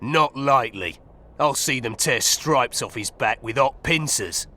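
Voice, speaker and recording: Bright-voiced, coarse thug. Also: male voice, mid-range voice, animated, unhurried. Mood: indignant. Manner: crude, blunt, colloquial. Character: coarse, foul-mouthed male hired thug, cocky and defiant. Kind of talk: video game voice acting.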